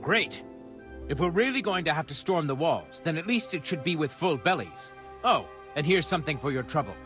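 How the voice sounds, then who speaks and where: A man acting a good-humoured, gruff medieval camp quartermaster in a video game, chummy and colloquial. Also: on the high side, sing-song, brisk, a bit bright, soft background music. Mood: hearty, rueful.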